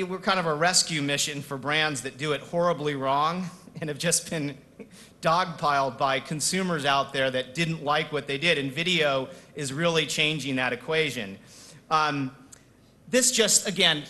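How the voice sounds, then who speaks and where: A man's voice: fairly high pitch, sharp tone. A man giving a semi-improvised business conference presentation as a speaker, stressing key points emphatically by repeating words. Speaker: conference speaker, marketing executive.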